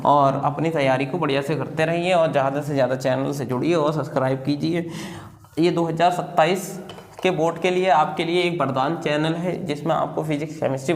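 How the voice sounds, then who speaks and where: A man with a fairly high voice gives an informal lesson in a very echoey room. He explains one step at a time, repeating himself and asking questions before answering them. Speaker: maths teacher.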